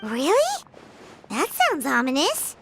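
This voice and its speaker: A squeaky fairy companion. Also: female voice, wildly swooping pitch, takes it slowly, full character voice. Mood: curious chirpy. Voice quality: high, squeaky and bouncy. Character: small floating fairy companion, chirpy and curious. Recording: game audio with loud music underneath.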